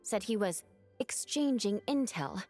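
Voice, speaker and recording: A woman's voice: medium pitch, expressive, unhurried, a little mellow, faint music behind. An actress playing a wry, gossipy female knight in a video game. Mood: wry, knowing.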